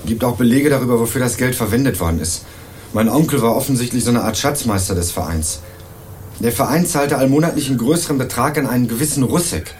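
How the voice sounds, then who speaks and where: An actor playing an earnest young male student investigator in a radio crime drama, narrating and questioning in a measured, dry way. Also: medium pitch, lively intonation, darkish tone, a little hiss or hum, natural voice. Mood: earnest, probing. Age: young adult. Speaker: narrator and lead character.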